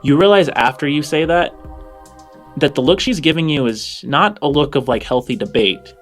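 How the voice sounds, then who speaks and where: A man's voice: on the high side, moderate pace. A man improvising narration as game master of a tabletop role-playing game. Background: music.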